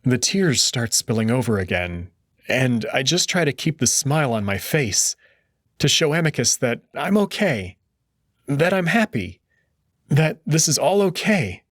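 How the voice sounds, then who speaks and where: Expressive male story narrator. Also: medium pitch, a little slow, no room sound, studio quality. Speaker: visual novel reader and host. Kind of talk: dramatic first-person story narration and commentary.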